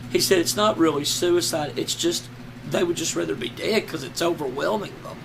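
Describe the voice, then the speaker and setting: A hoarse man lying on the floor at home, talking slowly and despairingly in halting, trailing sentences. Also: a little high, noisy background. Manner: slow, halting, despairing, many trailing restarts. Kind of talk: casual personal vlog.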